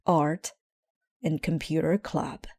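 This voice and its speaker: A woman reads a children's story aloud in a measured, deliberate storytelling manner. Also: low voice, steady tone, gravelly, warm tone, no echo, clean studio sound. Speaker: storybook reader. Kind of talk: scripted children's story narration, read aloud.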